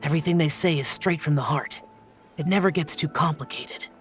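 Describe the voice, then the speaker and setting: An actor playing a reserved, solemn, young-looking immortal guardian in a video game, speaking in a grave, measured, formal way. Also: male voice, on the high side, fairly even intonation, natural voice. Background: game background music.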